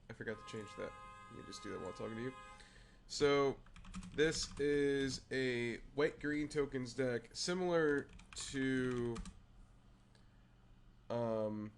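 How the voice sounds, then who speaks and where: A man with a raspy voice who is clearly under the weather hosts a casual card game show. He walks through a deck at a middling pitch, hesitating often with fillers and restarts. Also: a little hiss or hum. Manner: halting, many fillers and restarts. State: unwell, raspy voice. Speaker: host.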